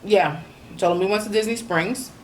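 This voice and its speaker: A woman's voice: medium pitch, brisk, a little hiss or hum. A woman, chatting casually through a vacation vlog recap with lots of ums and you-knows. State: slightly hoarse. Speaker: vlogger.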